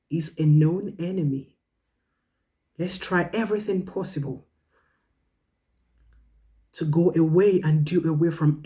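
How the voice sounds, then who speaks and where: A woman's voice: deep voice, dark tone. A woman storyteller speaking to children, drawing moral and religious lessons in an earnest, emphatic, instructive manner.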